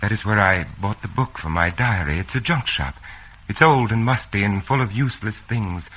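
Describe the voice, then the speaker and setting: An actor playing an anxious, earnest secret rebel in a radio drama, intense and halting, with a husky voice. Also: male voice, on the low side, bright, forceful tone, a little hiss or hum, rough recording. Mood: earnest, hopeful.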